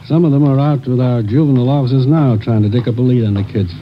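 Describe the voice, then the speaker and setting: An actor playing a weary, businesslike police lieutenant, matter-of-fact, in a radio drama. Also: male voice, mid-range voice, fairly even intonation, fast, slight background noise, natural voice. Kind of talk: 1950s radio drama acting. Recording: muffled vintage radio recording.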